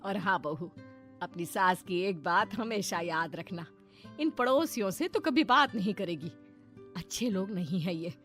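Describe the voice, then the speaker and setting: A woman's voice: lowish voice, lively intonation, unhurried, a bit bright, soft background music. Woman acting a disapproving, admonishing mother-in-law. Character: bossy, disapproving mother-in-law advising her new daughter-in-law.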